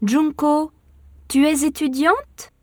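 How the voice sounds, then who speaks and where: A woman voicing a cheerful young female musician in a slow language-course dialogue. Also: high voice, steady tone, warm tone, no echo, studio quality. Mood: friendly. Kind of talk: slow scripted textbook dialogue.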